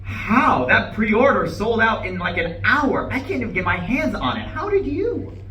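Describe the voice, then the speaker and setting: A man's voice: high-pitched, very animated, normal speed, sharp tone, exaggerated voice. A man reading the part of an earnest, defensive office-worker husband in a live play reading, with a hesitant, over-explaining manner. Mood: amazed. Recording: distant mic, echoey room, background noise.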